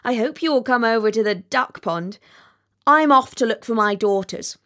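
A woman's voice: a little high, fairly bright tone, lightly acted. A woman voicing a chatty, self-satisfied little male frog in a storybook, gushing and complacent. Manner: gushing, complacent, talks without pause. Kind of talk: storybook character voice.

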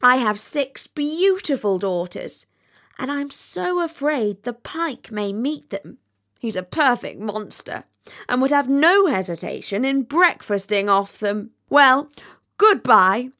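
A woman's voice: on the high side, lively intonation, sharp tone, voice pushed up, exaggerated voice. A woman voicing a chatty, self-satisfied little male frog in a storybook, gushing and complacent. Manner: gushing, complacent, talks without pause. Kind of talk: storybook character voice.

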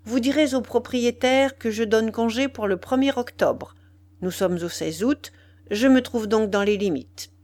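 A woman's voice: a little high, a little slow, a little mellow, lightly acted. A cold, cynical lover in a storybook drama, voiced by a woman. He speaks with haughty self-assurance. His manner is curt and commanding, that of a man used to dominating. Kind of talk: dramatised audiobook dialogue.

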